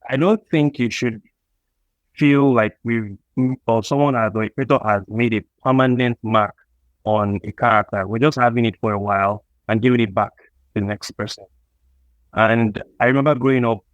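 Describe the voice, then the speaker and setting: A man's voice: medium pitch, no echo, highs cut off. A man with a Nigerian accent answering as an interview guest, talking reflectively and conversationally. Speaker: comic book creator, interview guest.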